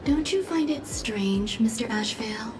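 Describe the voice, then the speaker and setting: An actress playing a cool, secretive woman scholar in video game story dialogue, deliberate and enigmatic, with music behind her. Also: on the high side, animated. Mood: probing. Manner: deliberate, enigmatic, dramatic pauses. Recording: music under the voice.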